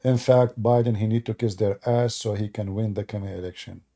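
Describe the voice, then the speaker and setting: Gravelly, dark-voiced man, native Arabic speaker. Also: lowish voice, steady tone, a little fast. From Middle East. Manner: sarcastic and joking, mocks the chat; broken English grammar. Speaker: live stream host. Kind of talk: casual live stream chat, answering comments.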